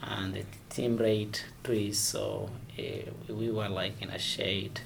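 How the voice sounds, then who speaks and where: Slow, gravelly-voiced man. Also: on the low side, very animated, background noise. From Somalia. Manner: halting, searching for words, many restarts. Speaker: interviewee. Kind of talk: oral history interview.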